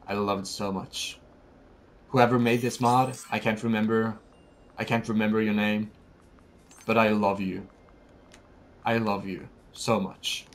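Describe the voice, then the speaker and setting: Chatty Norwegian-accented gamer. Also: male voice, lowish voice, a little hiss or hum. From Norway. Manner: chatty, enthusiastic, laughs often, repeats himself. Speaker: let's play host. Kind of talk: casual gameplay commentary.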